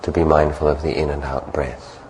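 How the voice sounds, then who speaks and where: A man calmly leading a guided meditation as a monk, giving gentle, unhurried instructions. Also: extremely low pitch, slight background noise, poor audio.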